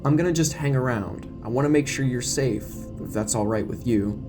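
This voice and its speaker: A man's voice: mid-range voice, normal speed, lightly acted. An earnest young deputy , acted by a man, protective and wordy in an audio drama. His voice sits in the middle range, with music behind it. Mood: worried.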